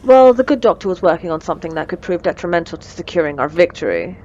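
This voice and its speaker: Woman acting as a female supervillain mentor, lowish pitch, teasing, condescending, coolly menacing, ASMR roleplay, muffled noisy recording. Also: sing-song, fast, darkish tone. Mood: businesslike. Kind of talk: scripted ASMR audio roleplay.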